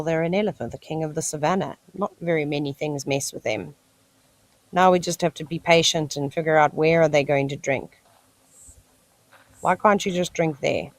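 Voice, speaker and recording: A woman with a South African accent hosting a live wildlife stream, chatting enthusiastically and talking to the animals on screen. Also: sits low. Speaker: wildlife livestream host. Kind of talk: live nature commentary. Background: cicadas screeching.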